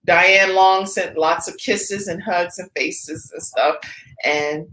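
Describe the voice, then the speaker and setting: A woman's voice: fairly low pitch, steady tone, slow, bright, forceful tone, reverberant, poor audio. A woman hosting a live talk show, chatting casually and laughing easily with her guest. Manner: upbeat, chatty, laughs often. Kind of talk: casual live interview chat.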